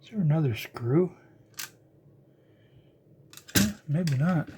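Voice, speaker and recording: An older electronics hobbyist giving a casual, unscripted teardown narration, halting and musing aloud while working with their hands. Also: medium pitch, animated, mellow, a little hiss or hum. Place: indoors at a workbench.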